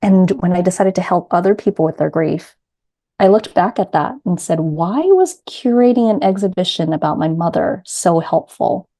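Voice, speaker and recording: Low, mellow-voiced New York woman. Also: lively intonation, normal speed. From New York City. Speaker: grief coach guest. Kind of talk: reflective personal storytelling.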